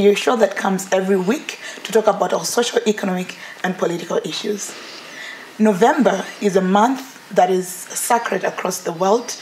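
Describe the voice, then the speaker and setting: A woman's voice: medium pitch, darkish tone, a little hiss or hum, low-quality recording. A woman, hosting a TV talk show with an enthusiastic, effusive manner, on a lapel mic. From Zimbabwe. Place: indoor TV studio set, seated in armchair.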